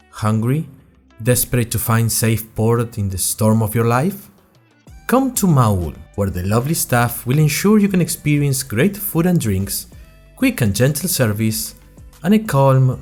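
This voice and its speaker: A man playing a curious young student journalist, narrating his adventures in a chatty, wry way as host of a fictional podcast. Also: mid-range voice. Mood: earnest promotion. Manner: chatty, wry, expressive storytelling. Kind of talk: scripted first-person audio drama narration in chatty podcast form.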